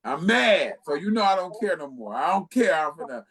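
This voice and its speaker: A man's voice: a little high, very animated, brisk, a bit bright, husky. An American man in his late thirties, a talk show guest telling personal stories in an emphatic, repetitive way and acting out what people said. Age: late 30s. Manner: emphatic, repeats phrases, acts out quoted speech. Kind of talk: animated personal storytelling.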